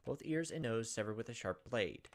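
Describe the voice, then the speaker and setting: A man's voice: medium pitch, expressive, moderate pace. A young man reading game text aloud and commenting casually as a let's play host. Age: young adult. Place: indoors at a computer, webcam.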